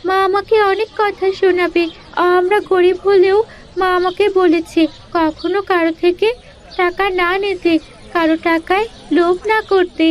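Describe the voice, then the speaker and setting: A woman's voice: very high-pitched, flat delivery, moderate pace. A woman voicing a sweet, earnest young village girl in a cartoon, eager and polite in a childlike way. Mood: surprised, earnest. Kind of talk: Bengali animated fairy-tale voice acting.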